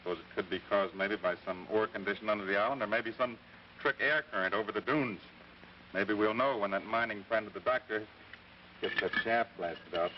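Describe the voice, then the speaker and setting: Skeptical, kindly American scientist, male actor, quick talker, dull old radio recording with faint noise. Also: fairly low pitch, recorded from a distance, close to an everyday voice. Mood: firm. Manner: brisk, matter-of-fact. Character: brisk, skeptical young male biologist, kindly and sensible. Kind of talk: 1950s radio drama acting.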